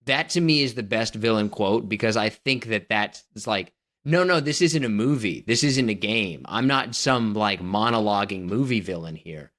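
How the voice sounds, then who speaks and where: A man's voice: on the low side, lively intonation, moderate pace, fairly bright tone. A man co-hosting a casual movie podcast, bantering in an opinionated, emphatic way and needling his co-host.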